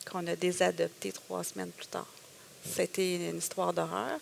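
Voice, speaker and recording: Gravelly middle-aged Québécois woman. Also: on the low side, little pitch movement, moderate pace. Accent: Québécois French. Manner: emotional storytelling with hesitations and self-quotes. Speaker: guest. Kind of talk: personal testimony in a conversation.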